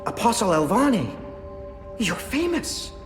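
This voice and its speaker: A jittery young engineer who stammers and rambles. His voice sits fairly high and leaps up and down as he talks. The game audio rings with long, roomy reverb. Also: very animated, slow, music in the background, exaggerated voice. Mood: flustered. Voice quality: stammering, flustered, talkative. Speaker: lead engineer character. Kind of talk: video game voice acting.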